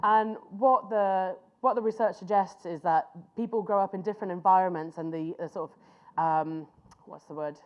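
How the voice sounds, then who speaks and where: A woman's voice: mid-range voice, muffled recording. Woman giving a class. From Finland. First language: Finnish. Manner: conversational, pauses to search for words. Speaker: conference speaker. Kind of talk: master class presentation.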